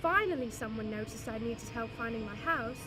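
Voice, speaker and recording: A woman's voice: a little high, expressive, brisk, close to an everyday voice. A woman voicing a naive, eager female pet dog in a trailer, wide-eyed and easily hurt. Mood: confused, hurt. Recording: loud music over the voice. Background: loud music.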